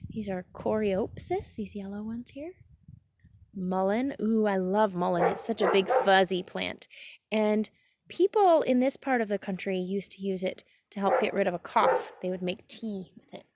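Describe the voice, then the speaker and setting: A woman's voice: mid-range voice, normal speed, background noise. A woman reading a picture book aloud like a teacher, adding simple, chatty explanations for children outdoors in a garden.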